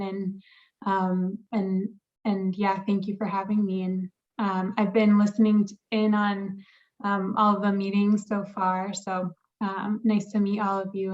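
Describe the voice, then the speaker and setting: Woman, very monotone delivery, medium pitch, halting with many ums, dull muffled video-call audio. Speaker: water agency outreach specialist, task force member. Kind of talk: informal self-introduction in a meeting.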